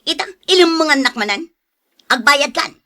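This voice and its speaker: A woman's voice: high voice, animated, normal speed, bright, forceful tone. A woman acting a bossy, merciless moneylender and nagging wife in a radio drama, with a scolding, demanding manner. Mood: angry, threatening.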